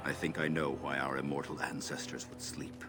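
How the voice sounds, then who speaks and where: An actor playing a grave, mournful old elven storyteller in a fantasy game, solemn and poetic. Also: male voice, rumbling low, level tone, brisk, music in the background. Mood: grave, sorrowful. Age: older.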